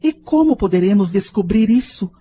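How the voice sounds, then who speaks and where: A woman's voice: mid-range voice, expressive, moderate pace, mellow, close to an everyday voice. An actress playing a worried, devout middle-aged mother in a radio soap opera. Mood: worried, resigned. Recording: noisy radio drama recording with background ambience.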